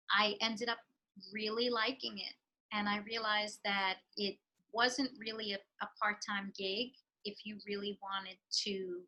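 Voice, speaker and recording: A woman's voice: medium pitch, pushed and bright, poor audio. A woman with a New York accent, a real estate professional giving earnest, reflective interview answers with frequent fillers.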